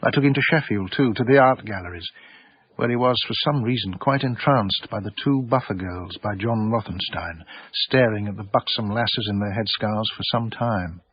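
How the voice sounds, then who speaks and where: Anxious English art dealer, husky voice. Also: male voice, on the low side, moderate pace, lo-fi sound. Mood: reflective. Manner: hesitant, self-effacing. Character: anxious, earnest small-time provincial English art dealer. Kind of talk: radio drama acting and first-person narration.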